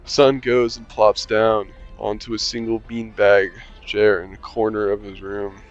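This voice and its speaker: A man's voice: lowish voice, level tone, measured pace, dull audio, faint music behind. A man reading a visual novel aloud for a let's-play, chuckling and adding wry asides. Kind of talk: casual let's-play narration.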